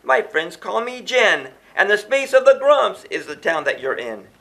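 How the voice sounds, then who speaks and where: A man's voice: high-pitched, very animated, moderate pace, bright, forceful tone, full character voice. A man voicing a friendly, rule-abiding young female creature in a rhyming children's storybook, earnest and welcoming.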